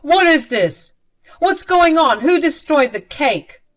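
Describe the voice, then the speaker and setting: A woman's voice: medium pitch, expressive, moderate pace, fairly bright tone, natural voice. A woman voicing an angry adult son who speaks in a stern, confrontational way in an audio story. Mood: angry, accusing. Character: angry adult son, firmly defending his wife.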